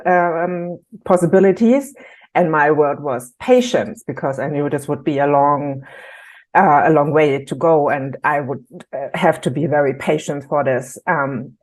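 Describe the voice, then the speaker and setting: Woman, low voice, slow pace, hesitant, casual conversation. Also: darkish tone. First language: German. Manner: thoughtful, hesitant with many ums. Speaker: workshop team member. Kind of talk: casual video-call conversation.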